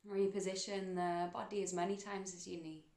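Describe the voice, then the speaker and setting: Creaky-voiced woman guiding a meditation. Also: mid-range voice, flat delivery, darkish tone, echoey room. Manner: soothing, unhurried, measured phrasing with pauses. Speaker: meditation guide. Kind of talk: guided meditation narration.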